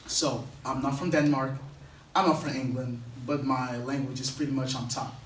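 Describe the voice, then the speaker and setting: A man's voice: medium pitch, fairly even intonation, moderate pace, slight background noise. A young man from Portugal, an English speaker telling his life story to an audience on stage in an earnest, rambling way. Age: young adult. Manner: earnest, rambling, with many fillers and restarts. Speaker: guest speaker. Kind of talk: personal story told to an audience. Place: on stage in a hall. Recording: echoey room, distant mic.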